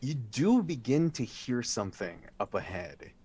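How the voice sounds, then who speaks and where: A man narrating a tabletop role-playing game as dungeon master, describing scenes in a slow, descriptive way. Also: medium pitch, very animated, warm tone, breathy edge.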